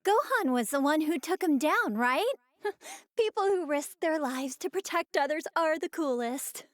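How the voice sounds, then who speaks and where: This is an outspoken young anime woman from a video game. She speaks in a very high, girlish voice with candid, animated delivery. The sound is dry, with no room to it. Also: measured pace, no echo. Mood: admiring, fond.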